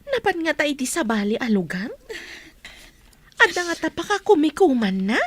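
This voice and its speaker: A woman's voice: high voice, big pitch swings, a little slow. An actress playing a chatty, teasing young female friend in a radio drama. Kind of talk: Ilocano radio drama acting.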